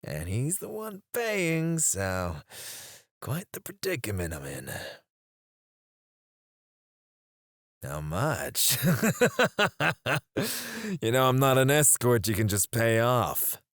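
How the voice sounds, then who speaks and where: A man voicing a menacing male mercenary interrogator in an ASMR roleplay, speaking in a low, taunting way close to the mic, sometimes dropping to a whisper. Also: very animated, slow pace, long pauses, no room sound. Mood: mocking.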